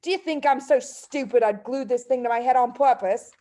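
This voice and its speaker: Short-tempered father for a children's audiobook, played by a woman: loud, snappish, exclamatory, high-pitched. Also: fairly quick, lightly acted. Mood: angry shouting. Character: short-tempered, shouting, rude middle-aged father in a children's story.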